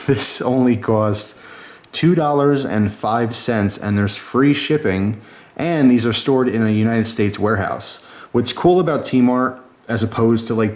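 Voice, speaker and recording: Male reviewer, lowish pitch, casual and chatty, informal enthusiast delivery. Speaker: product reviewer.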